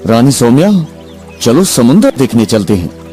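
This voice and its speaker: A man's voice: medium pitch, very animated, a little slow, warm tone, soft background music. Man acting a dramatic, swooping cartoon king. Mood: composed. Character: ailing adult king, anxious, easily alarmed. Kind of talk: Hindi fairy tale cartoon dubbing.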